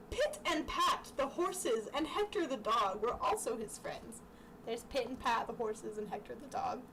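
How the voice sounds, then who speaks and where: A young woman is heard at some distance from the mic over clear background noise. Her voice is fairly high, and she reads aloud in a casual, chatty style, often breaking off into giggly asides. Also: takes it slowly. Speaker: amateur vlogger reading childhood books aloud.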